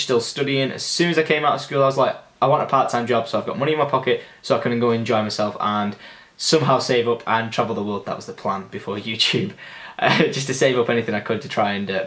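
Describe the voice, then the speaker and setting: A man's voice: medium pitch, fairly bright tone. A nineteen-year-old man chatting casually and upbeat through a Q and A vlog, rambling with lots of fillers. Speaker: YouTuber. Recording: roomy echo.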